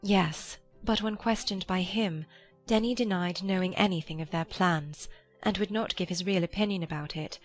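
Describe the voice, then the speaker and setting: Woman acting a gentle, low-voiced young gentlewoman. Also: normal speed, faint music behind, natural voice. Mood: troubled, gentle. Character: gentle, forgiving elder sister, a Regency gentlewoman. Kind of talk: dramatized audiobook acting.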